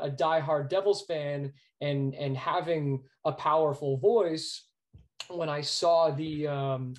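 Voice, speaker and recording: Earnest American man, slightly high voice. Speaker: guest, sports arena announcer. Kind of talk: conversational interview storytelling.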